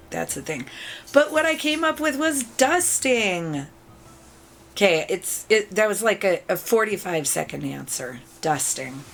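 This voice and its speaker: Woman host, middle-aged, gravelly sore-throat rasp, chatty and upbeat, faint hiss behind. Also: lowish voice, a bit bright. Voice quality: raspy. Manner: chatty, upbeat, thanks viewers often. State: raspy throat after illness. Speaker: video game channel host. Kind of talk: casual chat to viewers.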